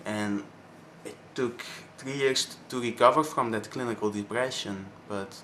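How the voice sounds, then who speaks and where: A man of twenty-one from Belgium with a Flemish accent, his first language Dutch, speaking haltingly with many pauses in a personal vlog. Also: mid-range voice, fairly even intonation, fairly bright tone, slight background noise. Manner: hesitant, many ums and pauses. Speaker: vlogger.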